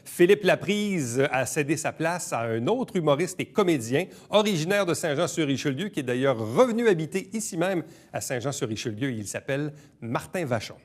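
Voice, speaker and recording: A man with a Quebec French accent hosting a local interview show, who asks brisk, teasing questions. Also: on the high side, sing-song, slight background noise. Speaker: host.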